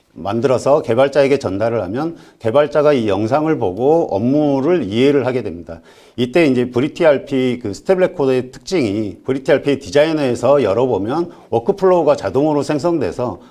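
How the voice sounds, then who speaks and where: A man gives a business presentation in a relaxed, mellow voice of middling pitch. He is polite and formal, pausing haltingly as he goes. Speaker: conference presenter, IT company executive.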